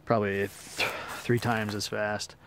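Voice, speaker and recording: A man's voice: fairly low pitch, flat delivery, measured pace. A man giving a casual hands-on demonstration in a laid-back, plain-spoken, hesitant way, recorded outdoors on a handheld camera. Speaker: amateur product demonstrator. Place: outdoors in woodland.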